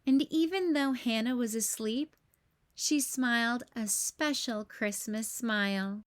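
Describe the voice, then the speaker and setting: A woman hosting a read-aloud, narrating a children's storybook in an expressive, careful storytelling style. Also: fairly high pitch, takes it slowly.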